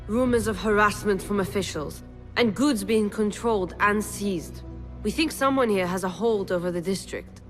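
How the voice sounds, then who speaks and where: A woman's voice: medium pitch, normal speed, soft background music, close to an everyday voice. A woman playing a blunt, wry rebel leader in a video game cutscene, matter-of-fact and sardonic. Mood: briskly informative.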